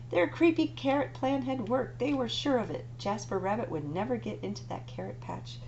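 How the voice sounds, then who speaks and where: A woman's voice: on the high side, normal speed, darkish tone. A woman librarian reading a picture book aloud, dramatic and dropping to whispers for the spooky parts. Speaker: children's librarian. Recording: dull lo-fi recording with noise.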